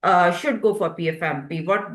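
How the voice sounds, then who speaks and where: A woman's voice: fairly low pitch, normal speed, fairly bright tone, echoey room. A woman hosting a webinar interview, polite and hesitant, with many fillers. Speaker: webinar host.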